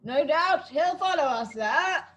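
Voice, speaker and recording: A woman playing an eager, naive male sidekick in a comic amateur radio play, earnest and excitable. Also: high-pitched, unhurried. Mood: nervous.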